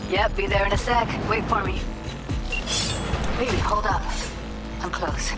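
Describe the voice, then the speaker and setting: An actress playing a streetwise, no-nonsense cyberpunk techie in a video game, direct and bossy. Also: female voice, mid-range voice, fairly even intonation, a little slow, over loud music, close to an everyday voice, low-quality recording. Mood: urgent.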